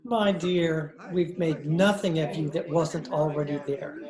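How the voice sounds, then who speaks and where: A man's voice: fairly high pitch, steady tone, a little slow, crowd noise, lightly acted. A man playing a genteel, gallant, world-weary judge in an amateur old-time radio play. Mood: tender. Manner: genteel, formal. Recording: roomy echo, online call recording.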